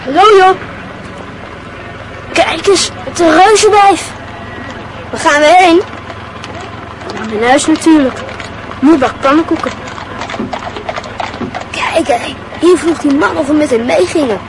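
A brash, boastful schoolboy, voiced by a woman, high and bright in tone but slow and drawling in delivery. He talks crudely and cockily in an old radio play, with a faint hum of street noise behind him. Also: lively intonation, poor audio. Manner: cocky, crude, laughing.

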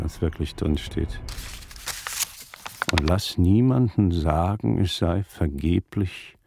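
A man playing a learned, brooding magister in a German radio drama, speaking deliberately and rhetorically. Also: sits low, creaky, noisy background, exaggerated voice. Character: learned, brooding older magister in old Italy.

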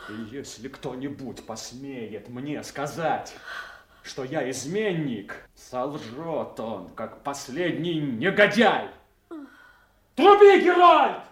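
A man's voice: fairly high pitch, a bit bright, reverberant, exaggerated voice. An actor playing a bold, ambitious villainous young nobleman in a classical audio drama, declaiming with swagger. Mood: cold menace.

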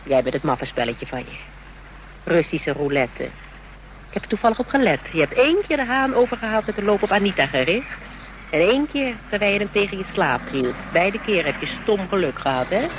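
A woman's voice: medium pitch, expressive, brisk, natural voice. An actress playing a tough, level-headed woman in a Dutch radio drama, probing and reproachful. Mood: stern, concerned. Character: level-headed, tough woman confronting a reckless gang leader. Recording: noisy radio drama recording.

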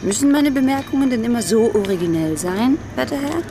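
Actress as an earnest, high-voiced outspoken schoolgirl. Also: expressive, brisk, noisy background, close to an everyday voice. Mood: teasing, indignant. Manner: formal address, probing, emphatic. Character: earnest, outspoken well-off German schoolgirl, teasing and proud. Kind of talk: naturalistic German radio drama dialogue.

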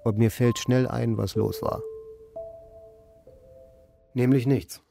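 Deadpan, creaky-voiced drifting man. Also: mid-range voice, over music. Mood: flat, resigned. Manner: deadpan, formal, deliberate wording. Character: idle, melancholy married man, drifting and deadpan. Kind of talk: German radio play acting and narration.

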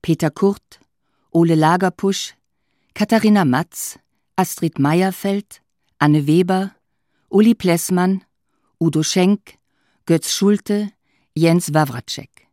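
A woman reads formal radio credits as an announcer. Her voice is fairly low and very slow, recorded dry with no room sound. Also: dark tone, no echo. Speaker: announcer reading title and credits.

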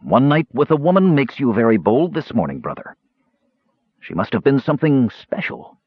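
A man's voice: mid-range voice, big pitch swings, moderate pace, breathy edge, dry recording, highs cut off, pitched above the performer's own voice. A man voicing a frail, bitter mage with a cold, sarcastic, demanding manner in a fantasy audiobook. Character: frail, bitter, sharp-tongued male mage.

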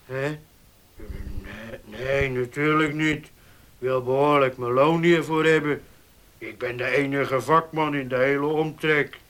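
An actor playing a humble, easily cowed older horse handler in a Dutch radio play, hesitant and deferential. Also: male voice, medium pitch, slow, fairly bright tone. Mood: protesting.

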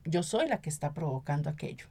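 Earnest Costa Rican woman, lowish voice. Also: sing-song, fast talker, warm tone. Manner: earnest, often seeks agreement with tag questions. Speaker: activist and municipal council member, interview guest. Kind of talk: conversational radio interview.

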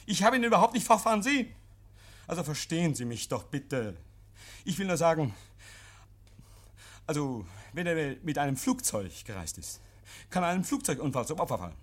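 A man's voice: on the high side, sing-song, moderate pace, pauses often. An actor in a 1950s radio play playing a nervous, paranoid man hiding guilt, agitated and stammering. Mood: defensive, irritated. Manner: agitated, stammering, insistent, hears imaginary noises. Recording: vintage 1950s radio recording.

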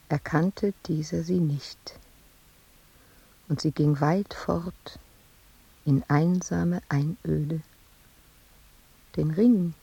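A slow-speaking, melancholy woman, creaky voice. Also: on the low side, full character voice, rough recording. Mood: wistful, dreamy. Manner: halting, hushed, intimate delivery with pauses. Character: melancholy woman sculptor remembering her family and past. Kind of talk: radio drama monologue.

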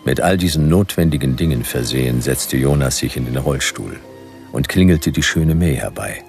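Gravelly, very deep laconic detective. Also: male voice, animated, moderate pace, darkish tone, soft background music, heavily acted. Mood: wry, watchful. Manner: dry, clipped, terse narration. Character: laconic hardboiled male private detective, aged 96, narrating. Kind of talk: radio play noir narration.

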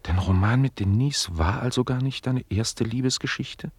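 A man playing a lordly, inquisitive master in a radio play, imperious and teasing, with a husky voice. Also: mid-range voice, moderate pace, no room sound, natural voice. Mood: prying, teasing. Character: lordly, inquisitive master scolding his servant.

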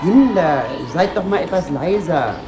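A woman's voice: lowish voice, animated, measured pace, over music. A woman playing an admonishing schoolteacher in a radio drama.

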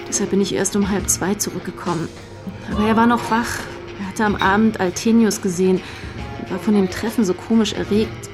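A young actress playing an emotional, defensive young lover being questioned in a German radio crime drama, often hesitating. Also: female voice, medium pitch, steady tone, fairly quick, over music, natural voice. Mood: uneasy. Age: young adult. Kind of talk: German radio play acting.

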